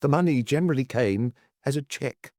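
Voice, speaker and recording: A man's voice: medium pitch, animated, fairly quick, a little mellow, no room sound, studio quality, pitched above the performer's own voice, natural voice. A man voicing a nervous, cautious male bookkeeper who gives guarded answers in an audiobook. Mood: guarded, uneasy. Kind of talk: audiobook character dialogue.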